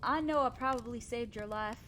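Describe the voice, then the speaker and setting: A woman playing a kind, selfless, self-doubting female centaur in a roleplay audio drama, speaking earnestly, gently and hesitantly to the listener. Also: on the high side, normal speed, a little hiss or hum, close to an everyday voice. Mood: gently refusing.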